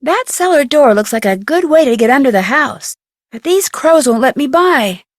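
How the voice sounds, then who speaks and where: A young American woman playing an inquisitive, plucky amateur detective in an adventure game, speaking earnestly and expressively with clear enunciation. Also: high-pitched, lively intonation, normal speed, no room sound, clean studio sound. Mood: determined, musing. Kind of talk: scripted adventure game voice acting.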